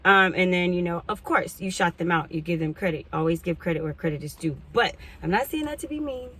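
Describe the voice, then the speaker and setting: A woman, chatting casually to camera as a couponing vlogger, rambling through asides and clearing her throat now and then. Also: on the low side, lively intonation, brisk, a bit bright, a little hiss or hum. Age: 40s. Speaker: couponing YouTuber.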